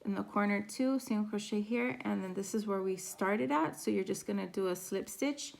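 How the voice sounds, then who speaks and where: A woman teaching a crochet pattern step by step, her voice raspy from a sore throat as she counts stitches aloud. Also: medium pitch, normal speed. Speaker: crochet instructor. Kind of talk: step-by-step craft tutorial.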